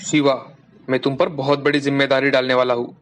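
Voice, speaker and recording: A man voicing a wealthy, earnest merchant father in a formal, deliberate way for an animated moral story. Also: medium pitch, close to an everyday voice. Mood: serious. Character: wealthy merchant father, earnest and concerned about his daughter.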